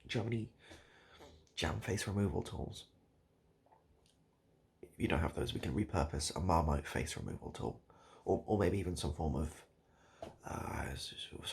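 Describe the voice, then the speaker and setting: Deep gravelly British man, rambling deadpan. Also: slight background noise. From United Kingdom. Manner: hesitant, rambling, repetitive, deadpan. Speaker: comedic video host. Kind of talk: improvised absurd comedy roleplay addressed to the viewer.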